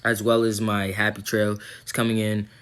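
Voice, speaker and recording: A man's voice: lowish voice, completely flat delivery, moderate pace, fairly bright tone, smooth. A young man recording a casual vlog update, rambling with lots of ums and likes, his throat a little sore. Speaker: vlogger.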